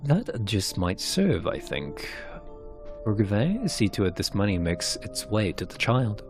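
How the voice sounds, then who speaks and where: Man playing a shrewd, composed gentleman. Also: lowish voice, huge pitch swings, measured pace, creaky, over music, exaggerated voice. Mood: measured, knowing. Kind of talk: narrated game cutscene character.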